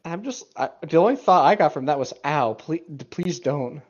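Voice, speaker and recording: A man's voice: a little high, animated, normal speed, darkish tone. Casual man on a slightly muffled microphone. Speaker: co-host reading a story aloud. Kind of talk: casual reaction banter and story reading. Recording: dull lo-fi microphone.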